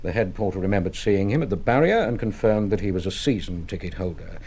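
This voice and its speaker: A low-pitched British police detective in a radio drama. He is courteous and official, choosing his words with measured hesitation. He presses on methodically and insistently. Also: lively intonation, darkish tone, a little hiss or hum. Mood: formal, grave.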